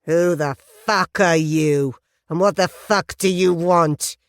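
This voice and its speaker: A woman's voice: lowish voice, slow pace, dry recording, clean studio sound. A woman reading a curt, hostile biker boss. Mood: threatening. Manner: curt, blunt, menacing. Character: tall lanky male biker gang president, hostile.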